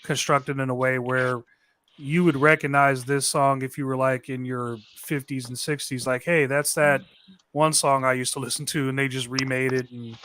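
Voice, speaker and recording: A man's voice: mid-range voice, level tone, normal speed, a bit bright, slight background noise. A man talking casually about making beats, rambling, with lots of restarts and fillers. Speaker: beat maker. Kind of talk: casual conversation.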